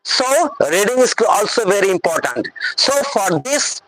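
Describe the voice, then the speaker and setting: A woman's voice: medium pitch, sing-song, moderate pace, a crowd in the background, poor audio. A woman with a Bengali accent, a native Bengali speaker, giving an earnest motivational English lesson and repeatedly checking that her audience understands. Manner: repetitive, earnest, addresses audience directly. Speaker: English teacher.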